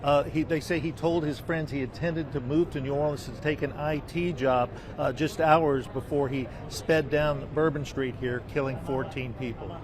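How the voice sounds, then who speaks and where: A man's voice: on the high side, fairly even intonation, normal speed, hardly any pauses, crowd noise. A man working as a TV news reporter, giving a live field report outdoors on a city street. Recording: outdoor street noise, traffic.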